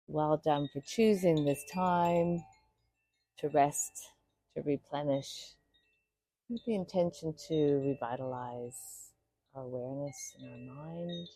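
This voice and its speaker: A woman guiding a meditation in a soothing, unhurried way, speaking in short phrases with pauses between them. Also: low-pitched, dark tone, faint music behind, rough recording. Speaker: yoga nidra meditation guide. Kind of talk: guided meditation.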